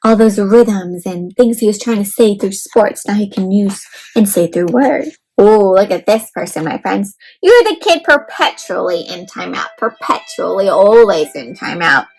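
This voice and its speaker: A woman hosting an interactive read-aloud for young children, expressive, asking them questions and explaining things simply. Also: medium pitch, a little slow, breathy edge, low-quality recording.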